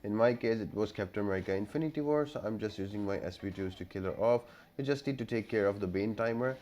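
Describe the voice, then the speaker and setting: A man's voice: on the low side, fast talker, a little mellow. A man giving an instructional, step-by-step voice-over for a gameplay tutorial. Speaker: game guide video creator. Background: background music.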